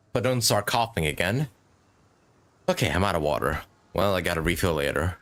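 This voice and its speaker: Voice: deep voice, lively intonation, moderate pace, a bit bright, slight background noise. A game streamer giving casual, giggly live commentary while musing aloud.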